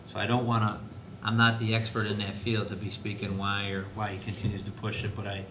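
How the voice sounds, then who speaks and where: A man speaking as an administrator at a school board meeting, explaining in a halting way with restarts. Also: monotone. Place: indoor board meeting room. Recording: noisy, echoey meeting room with low rumble.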